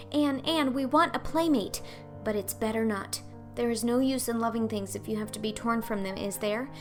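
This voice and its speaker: An earnest, talkative young orphan girl from a storybook, played by a woman, dreamy and wistful. Her voice sits higher, and she pleads with effusive, melodramatic feeling, over faint music. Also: lightly acted.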